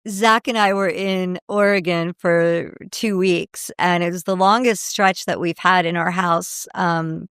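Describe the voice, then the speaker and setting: Chatty woman cohost, smooth clear voice, dry close mic, laughs a lot. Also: fairly low pitch, fairly even intonation, measured pace, hardly any pauses, fairly bright tone, no echo. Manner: chatty, laughs often, sympathetic. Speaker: podcast co-host. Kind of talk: casual chat.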